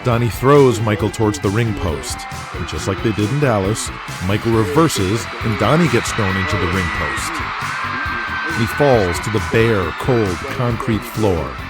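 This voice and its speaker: Male narrator for wrestling audio drama, slightly low voice, emphatic delivery, loud music bed. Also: lively intonation, unhurried.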